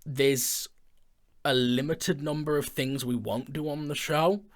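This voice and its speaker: A man's voice: medium pitch, steady tone, unhurried. A man chatting casually and enthusiastically as an audio drama creator, with a jokey manner. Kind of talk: casual chat.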